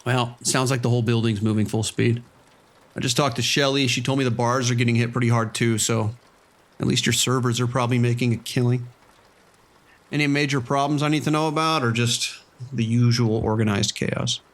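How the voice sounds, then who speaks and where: Man playing an easygoing mid-pitched casino boss. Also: steady tone, a little fast, natural voice. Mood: friendly. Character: easygoing, watchful male casino boss in his office. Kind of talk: scripted horror audio drama radio exchange.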